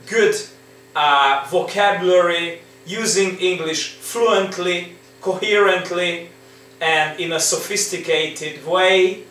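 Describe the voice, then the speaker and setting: Man, English teacher, slow coaxing delivery, slightly high voice, echoey living room, distant mic. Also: fairly bright tone. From Romania. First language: Hungarian. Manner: slow, coaxing, repeats with rhetorical "right?". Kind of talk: exam-prep lesson. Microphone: laptop or camera in front.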